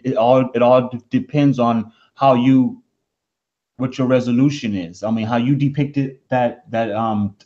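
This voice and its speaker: A man calling into a live stream, speaking formally and haltingly with many restarts. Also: medium pitch, darkish tone. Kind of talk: casual live-stream discussion. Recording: dull lo-fi call audio.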